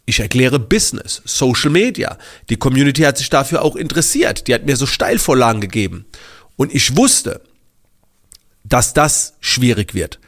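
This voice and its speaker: A man's voice: medium pitch, moderate pace. A man hosting his podcast, slightly congested from a cold, telling a story casually with lots of fillers and restarts. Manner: animated, many fillers and restarts. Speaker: podcast host.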